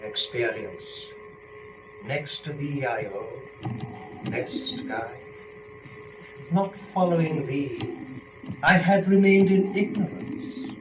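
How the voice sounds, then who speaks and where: Young fallen woman, rapturous and scheming; epic verse drama, very slow delivery, distant noisy recording. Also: fairly high pitch. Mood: sly, calculating. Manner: measured, theatrical, deliberate phrasing. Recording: noisy, dull, echoey room from a distance.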